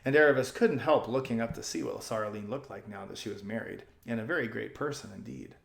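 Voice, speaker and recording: A man's voice: fairly low pitch, fast, gravelly. A man narrating a children's storybook as a storyteller, reading aloud and explaining things to young listeners. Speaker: storyteller, channel host.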